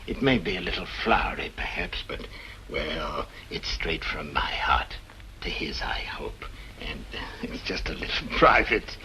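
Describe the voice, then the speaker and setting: Halting, ingratiating old scholar. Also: male voice, deep voice, sing-song, bright, forceful tone, in a whisper, full character voice. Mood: bashful, flustered. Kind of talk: old radio mystery drama acting. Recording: noisy old radio drama recording.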